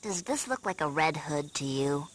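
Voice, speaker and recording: A woman voicing a bossy, cynical young girl in a cartoon, deadpan and impatient. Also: sits low, moderate pace, very noisy. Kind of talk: cartoon voice acting. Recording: loud music under the voice.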